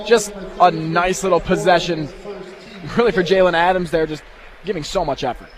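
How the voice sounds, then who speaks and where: A man provides color commentary on live sports radio. His voice sits notably high for a male, and he explains things in a conversational way. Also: moderate pace, a crowd in the background.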